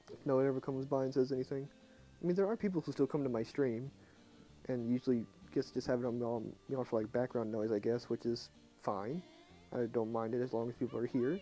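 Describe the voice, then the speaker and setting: A young man talks in a low, hushed voice, chatting casually to viewers while he plays. His tone is mellow and relaxed. Faint game music runs underneath. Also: fairly quick. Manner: quiet and subdued, talking softly at night. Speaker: streamer. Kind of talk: casual live game commentary.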